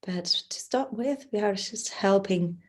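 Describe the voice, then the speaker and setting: A woman teaching yoga nidra, giving gentle, soothing, unhurried guidance through a relaxation practice. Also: medium pitch. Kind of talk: guided relaxation instruction.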